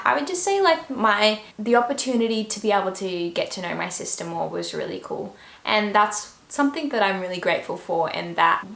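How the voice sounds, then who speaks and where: A woman's voice: a little high, a bit bright. A young Australian woman with an Australian accent answering questions in a casual vlog, chatty and full of fillers and trailing asides. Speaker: YouTuber. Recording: echoey room with faint noise.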